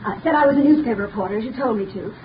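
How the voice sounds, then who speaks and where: Actress doing nervous young woman, stammery, high voice, far off, hissy old radio. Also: rapid-fire, dull audio, lightly acted. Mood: shaken. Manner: hesitant, stammering, breathy. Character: shaken young female companion. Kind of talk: 1930s radio acting. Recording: scratchy 1930s radio recording, from far off.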